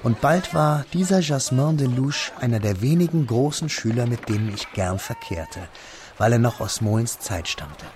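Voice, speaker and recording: A man playing a reflective young man who narrates his melancholy past in measured, literary radio drama style. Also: mid-range voice, expressive, a little mellow, slight background noise, close to an everyday voice. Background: music.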